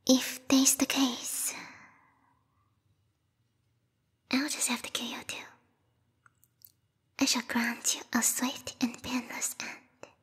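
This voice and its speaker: A woman voicing a lethal yandere vampire bat girl, a queen's knight with formal, theatrical speech, in a breathy whispered ASMR roleplay. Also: sits high, level tone, slow pace, a bit bright, full character voice. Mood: coldly regretful. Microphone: close binaural microphone. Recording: reverberant hall-like echo.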